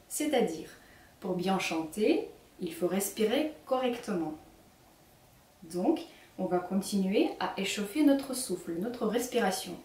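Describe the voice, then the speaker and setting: A young woman with a Ukrainian accent leading a children's singing workshop, speaking slowly and clearly as she gives instructions. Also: medium pitch, warm tone. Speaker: singing teacher. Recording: echoey room, mic at a distance.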